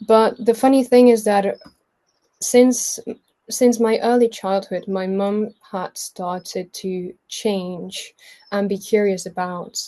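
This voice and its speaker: A young woman in her early twenties with a Spanish accent shares her personal story. Her voice is soft-edged and mellow, and she takes her time. She speaks in a chatty, self-effacing way. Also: mid-range voice, steady tone, takes it slowly. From Mallorca, Spain. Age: early 20s. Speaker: guest sharing a personal testimony. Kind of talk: informal autobiographical storytelling.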